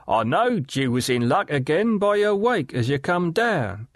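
A man voicing a leering, lowborn male river scavenger who talks in a coaxing, slangy way. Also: very animated, unhurried, no room sound, clean studio sound. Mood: wheedling.

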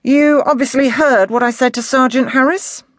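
A man voicing a brash, commanding police detective inspector in an audiobook, with a forceful, blunt delivery. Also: extremely high pitch, steady tone, moderate pace, dry recording, studio quality, voice pushed up. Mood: brisk, persuasive. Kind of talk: audiobook character dialogue.

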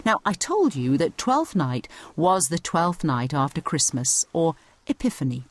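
A woman's voice: sits low, big pitch swings, measured pace. A woman narrating a scripted educational audio guide, explaining things conversationally as she addresses the listener directly.

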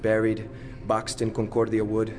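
An actor playing an idealistic, brooding Chicano lawyer in an audio drama, declaiming poetically. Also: male voice, lowish voice, completely flat delivery, normal speed, lightly acted. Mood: brooding, bitter. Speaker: lead actor and narrator. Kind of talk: theatrical audio drama acting.

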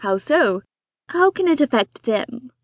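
A woman voicing a weary, bookish husband and father of five who answers in a dry, teasing, deadpan way. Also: high voice, a little slow, no echo, heavily acted.

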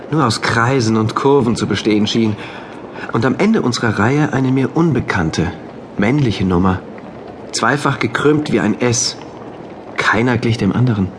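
A man playing an orderly, wonderstruck engineer who narrates his diary of a regimented future in measured, deliberate phrases, in a radio play. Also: on the low side, background noise, close to an everyday voice. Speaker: narrator of an audio drama. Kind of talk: literary first-person narration in a radio play. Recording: music behind the voice.

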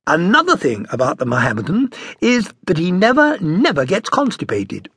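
A man's voice: high-pitched, huge pitch swings, moderate pace, a bit bright, breathy edge, dry recording, clean studio sound, voice pushed up, exaggerated voice. A man voicing a bold, opinionated bohemian mother with a languorous manner, lecturing her small son in an audiobook story. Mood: breezily didactic.

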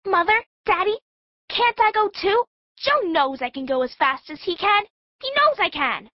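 An eager young girl with a very high, pleading voice. She is insistent, in the manner of a children's storybook drama. The recording is dry and a bit muffled. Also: animated, normal speed, no room sound. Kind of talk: full-cast audiobook acting. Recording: dull, narrow lo-fi audio.

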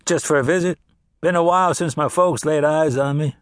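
A man's voice: a little high, fairly quick, no echo, clean studio sound, pitched above the performer's own voice, lightly acted. A male narrator voicing a laconic young cowboy with an easygoing drawl. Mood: easy.

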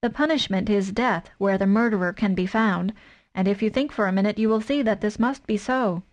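Woman reader as calm, serious father wolf, measured and even. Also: mid-range voice, lightly acted. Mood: explaining. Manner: grave and measured. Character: grave, steady male wolf father.

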